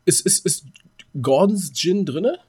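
A man's voice: sits high, animated, slow, darkish tone, slightly rough. A man co-hosting a podcast in casual, joking chat. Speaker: podcast co-host.

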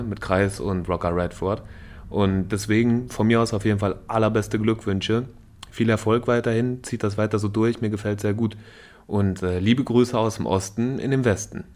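Deep-voiced man with a cold. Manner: relaxed, chatty, with slang and fillers. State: has a cold. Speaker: podcast host. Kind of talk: casual podcast chat.

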